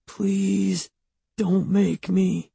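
A man's voice: on the high side, very animated, extremely slow, vocal fry, dark tone, slightly rough, dry recording, pitched above the performer's own voice, full character voice. A man voicing an anxious, miserable young boy in a storybook, pleading and whining. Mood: desperate pleading. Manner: pleading, wailing.

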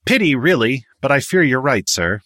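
A male narrator puts on a haughty young man of the elite for an audiobook. The voice sits high and airy, with affected, condescending phrasing. It is recorded dry and close. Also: very animated, normal speed, bright, forceful tone, breathy edge, no echo, heavily acted. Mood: smug, needling. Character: haughty, spoiled young upper-class man.

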